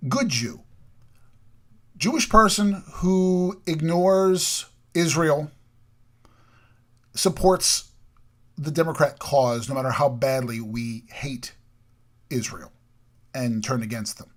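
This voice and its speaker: A man delivering an emphatic, opinionated political monologue, from the United States, repeating points and swinging between loud and quiet. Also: a little high, slow pace, long pauses. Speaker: political commentary host.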